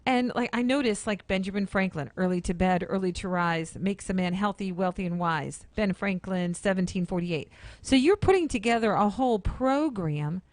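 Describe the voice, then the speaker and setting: A woman's voice: medium pitch, normal speed, no room sound. Woman co-hosting a talk radio show. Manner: teasing, joking. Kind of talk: casual radio chat. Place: radio studio.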